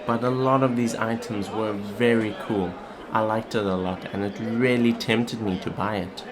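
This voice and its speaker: Low-voiced man vlogging. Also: fairly even intonation, moderate pace, crowd noise. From South Africa. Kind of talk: casual vlog talk and voice-over narration. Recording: clearly noisy, ambient background sound.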